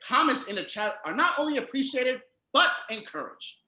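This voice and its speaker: A man acts out an excitable old-world German storyteller for a comic stage show. His voice is piercingly bright and high, with an emphatic, scolding showman's delivery, heard in an echoey room. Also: a little fast, exaggerated voice. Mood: upbeat, presenting. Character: Wilhelm Grimm, excitable German storyteller brother of fairy tales. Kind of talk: comedic stage patter and rhymed storytelling. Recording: roomy, echoey home recording.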